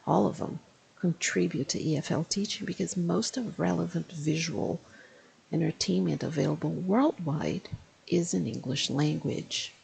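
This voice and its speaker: A woman in her fifties, an English teacher, giving a spoken video review in a deliberate, explanatory way and slowing down to stress key phrases. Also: sits low, gravelly, a little mellow, slight background noise, rough recording. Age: 50s.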